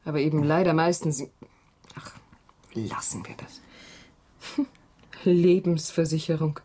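An actress playing a guarded, wry woman who works as a hairdresser in a radio drama, speaking haltingly and trailing off. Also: sits low, fairly even intonation, mellow.

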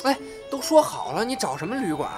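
Actor as cocky young man, lively higher voice. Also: fairly quick. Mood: sheepish. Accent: northern Mainland Chinese. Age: young adult. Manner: sparring, colloquial. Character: cocky, quick-tongued young man, stubborn and open-hearted. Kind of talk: audio drama acting. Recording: music behind the voice.

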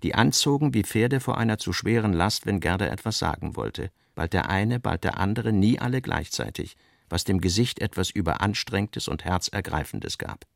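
A man with a gravelly voice reading scripted literary narration for a radio drama. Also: deep voice, animated, normal speed, dry recording, studio quality.

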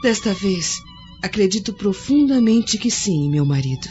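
A gentle, mellow Roman wife. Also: female voice, medium pitch, big pitch swings, measured pace, faint music behind. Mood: tender. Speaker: actor in a radio drama. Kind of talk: radio drama acting. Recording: dull lo-fi audio with faint score.

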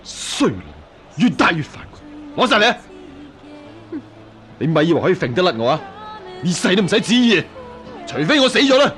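A man's voice: high-pitched, very animated, normal speed, pauses often, a bit bright, soft background music. A man with a Hong Kong Cantonese accent playing a bullying middle-aged addict father in a radio drama, sneering and blustering menacingly. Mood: furious.

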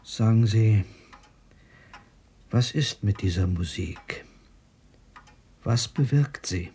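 Doctor: dark, low male voice, terse, probing authority, radio drama, light noise behind. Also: lively intonation, takes it slowly. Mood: firm, probing. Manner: terse, clinical, questioning. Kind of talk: German radio drama acting.

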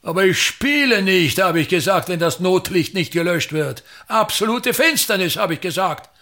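Radio drama: self-important older patriarch of the stage, creaky voice, very animated pitch, pompous delivery. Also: male voice, normal speed, full character voice. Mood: scornful, aggrieved. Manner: pompous, declamatory, emphatic repetitions. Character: pompous, tyrannical older actor-patriarch, grandiose and aggrieved. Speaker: actor. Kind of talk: theatrical radio drama monologue.

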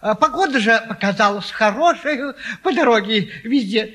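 A man's voice: very high-pitched, animated, moderate pace, fairly bright tone, exaggerated voice. An actor playing a chatty, good-natured old retired navy lieutenant in a stage comedy, rambling and chuckling as he talks. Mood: genial, chatty. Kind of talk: classic stage comedy acting.

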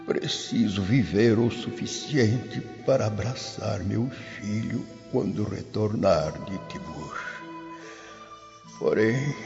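An aged, tender Roman grandfather in a radio drama. He is gravelly and extremely slow, solemn and halting with devotion. Music plays behind him. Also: mid-range voice, darkish tone, heavily acted. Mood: weary, solemn. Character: frail, devout old Roman patriarch, tender grandfather. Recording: dull lo-fi radio drama audio.

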